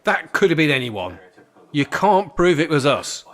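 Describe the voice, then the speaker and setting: An actor with a British accent playing a sarcastic, rebellious old care home resident in a radio play, grumbling and sardonic. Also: male voice, a little high, very animated, a little slow, a bit bright. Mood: indignant.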